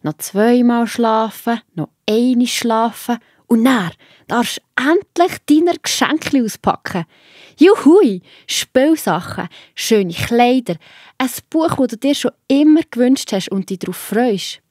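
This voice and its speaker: A woman's voice: on the high side, lively intonation, slow, darkish tone, no echo, clean studio sound. A woman with a Swiss German accent narrating a children's Bible story in a scripted storytelling style.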